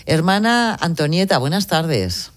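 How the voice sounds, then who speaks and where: A woman presenting a midday radio news show and interviewing a guest, with brisk, clear delivery. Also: low-pitched, very animated.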